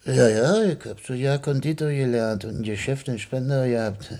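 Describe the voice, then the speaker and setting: An actor playing a chatty, stubborn older retired baker from a radio play, with a Berlin accent, a rough voice and a rambling, dramatic way of telling things. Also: male voice, mid-range voice, moderate pace, warm tone, close to an everyday voice. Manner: colloquial, rambling, dramatic storyteller. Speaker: patient. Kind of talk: German radio play acting.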